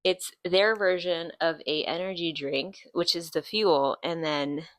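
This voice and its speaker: A woman in her late twenties hosts a relaxed podcast chat, her voice sitting a little low for a woman. She drifts from one tangent to the next, filling pauses with ums. The sound is close and dry. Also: dry recording. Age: 20s. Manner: chatty, rambling, with many ums and tangents. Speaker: podcast host. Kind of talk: casual chat.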